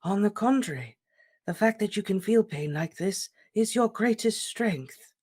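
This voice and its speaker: A reader voicing an old male headmaster wizard, speaking in a calm, measured, gentle way. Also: medium pitch, breathy edge, pitched above the performer's own voice. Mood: serene. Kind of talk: audiobook character reading.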